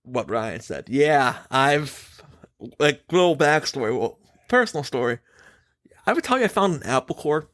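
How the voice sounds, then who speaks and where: A man's voice: a little high, sing-song, moderate pace, fairly bright tone, no room sound. A man hosting a podcast, giving his opinions in casual, emphatic chat and putting questions to his co-host.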